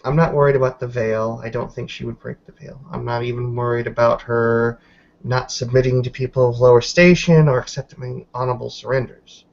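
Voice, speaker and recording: A man voicing a stern, smirking female werewolf in a tabletop game, speaking in a measured, serious way. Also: mid-range voice, steady tone, gravelly, dull audio, close to an everyday voice.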